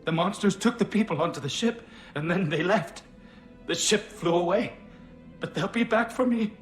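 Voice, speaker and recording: Man acting a traumatized, higher-voiced alien colonist. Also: normal speed, soft background music, natural voice. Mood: haunted, fearful. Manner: fragmented, repetitive, fearful muttering in short phrases. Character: traumatized, paranoid male alien colonist hiding from monsters. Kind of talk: video game voice acting.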